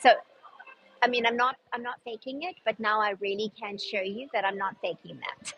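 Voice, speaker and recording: Chatty South African woman, high-pitched. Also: a little fast, muffled recording, a little hiss or hum. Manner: chatty, upbeat, frequent laughs and restarts. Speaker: personal stylist and designer hosting a live shopping show. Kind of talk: casual live-stream chat with viewers.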